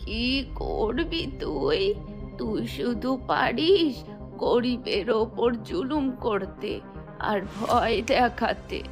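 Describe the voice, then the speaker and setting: Cartoon folk-tale granny: aggrieved, outspoken, slow and high-pitched, faint music behind. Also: female voice, sing-song, heavily acted. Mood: indignant. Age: older. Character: aggrieved old village granny, poor but outspoken.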